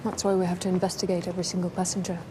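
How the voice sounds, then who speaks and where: An actress playing a blunt, businesslike detective questioning people in a naturalistic TV drama. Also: female voice, lowish voice, flat delivery, very fast, noisy background, natural voice. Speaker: police detective.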